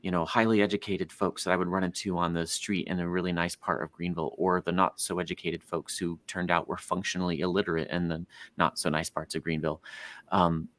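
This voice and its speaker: A man's voice: deep voice, flat delivery, a little fast, fairly bright tone. A man in his forties, a guest author, explaining things animatedly in a podcast conversation, with frequent fillers. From United States. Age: 40s.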